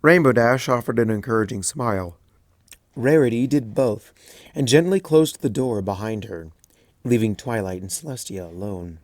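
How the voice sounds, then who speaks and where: A man narrating a fan fiction story in a plain, even storytelling delivery on a close home mic. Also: medium pitch, normal speed, no echo. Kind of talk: fanfic audiobook reading.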